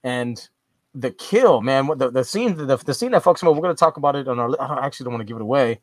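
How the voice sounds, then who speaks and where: Male co-host, mid-pitched, rambly, swears casually, dry close sound. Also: expressive, fast, no room sound. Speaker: podcast co-host. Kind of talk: casual movie review chat.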